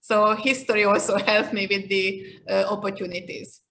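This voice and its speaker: A woman's voice: mid-range voice, level tone, moderate pace, fairly bright tone, a little hiss or hum. A woman in her fifties from Hungary with a Hungarian accent, answering interview questions in a reflective, conversational way. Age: 50s. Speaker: interview guest, leadership expert.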